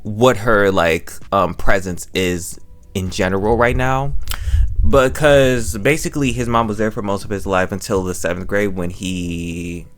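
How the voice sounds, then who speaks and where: A man's voice: fairly low pitch, steady tone, a little slow, a bit bright. A man giving a casual, slangy review commentary, rambling, repeating his phrases and correcting himself as he goes. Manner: slangy, informal. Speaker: review channel host.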